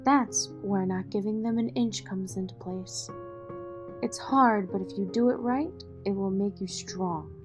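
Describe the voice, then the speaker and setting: An actress playing a poised, firm, wealthy mother in an audio drama, speaking in a measured, commanding way. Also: medium pitch, over music, natural voice. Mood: instructive, measured.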